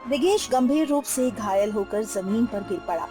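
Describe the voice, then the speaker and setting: Expressive woman narrating over music. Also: a little high, moderate pace. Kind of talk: dramatic scripted story narration.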